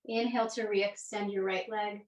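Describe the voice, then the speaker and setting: An echoey room surrounds this woman's voice, which sits some way from the microphone. She has a medium pitch and instructs a yoga class with gentle, unhurried cues, leaving space between phrases. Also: flat delivery, far from the mic.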